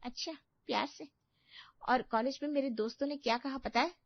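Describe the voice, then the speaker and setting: A woman voicing an angry, accusing young college girl, scolding in a shrill, indignant way, in a story. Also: fairly quick, no echo, highs cut off.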